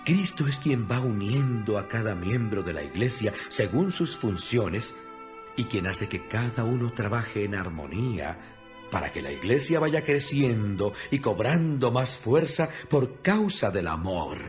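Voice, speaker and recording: A man's voice: fairly low pitch, big pitch swings, measured pace. A man giving an earnest, instructive dramatized reading of scripture as an audio Bible narrator. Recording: music behind the voice. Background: background music.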